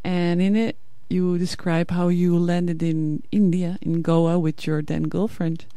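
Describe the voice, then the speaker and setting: A woman whose first language is Dutch hosts a radio interview in English. She asks her prepared questions in a measured way, with a mellow tone. The studio sound is dry and close. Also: fairly low pitch, level tone, dry recording. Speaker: radio host.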